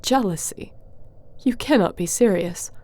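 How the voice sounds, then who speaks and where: An actress playing a wronged, defiant sorceress in a fantasy audio drama, speaking with earnest intensity. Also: female voice, medium pitch, unhurried, a little mellow, natural voice. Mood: indignant. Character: wronged, defiant sorceress pleading her innocence.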